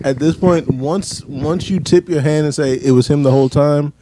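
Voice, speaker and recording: A man's voice: on the high side, moderate pace, background noise. A man co-hosting a film review podcast, talking casually and analytically, with frequent restarts. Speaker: podcast co-host, film critic. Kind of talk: casual movie review chat.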